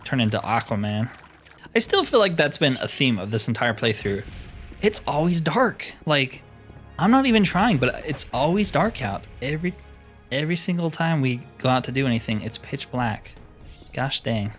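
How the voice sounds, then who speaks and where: A man's voice: medium pitch, sing-song, moderate pace, faint music behind. A man giving casual, informal commentary on a game playthrough, musing aloud to viewers with mild exclamations. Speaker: gaming channel host.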